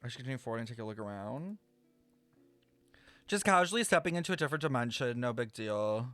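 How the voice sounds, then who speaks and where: A man's voice: mid-range voice, fast talker. A man giving casual, exclamatory reaction commentary as a game streamer. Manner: expressive, exclamatory. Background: game music.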